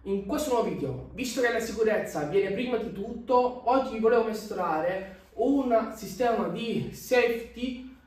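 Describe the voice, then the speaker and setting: A man's voice: sits high, expressive, measured pace, a little mellow. A young man casually explaining his home gym gear, talking toward a camera across a large indoor gym. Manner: chatty, explains while pointing at gear. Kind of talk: casual how-to vlog. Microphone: camera across the room. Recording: very echoey room from far off.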